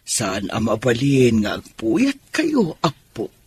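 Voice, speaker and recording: A man playing an elderly, concerned grandmother in a radio drama, with a husky, rough voice and an emphatic, admonishing way of talking. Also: mid-range voice, wildly swooping pitch, slow pace, slight background noise. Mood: gently firm. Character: elderly concerned grandmother, chiding her married daughter.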